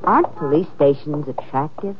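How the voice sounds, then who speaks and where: Tough wisecracking dame, world-weary, old radio crime show, dull muffled sound. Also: female voice, deep voice, animated, normal speed, slight background noise. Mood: flirtatious, wry. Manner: sardonic, slangy tough-dame talk. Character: brash, world-weary, wisecracking female schemer. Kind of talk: old-time radio crime drama acting.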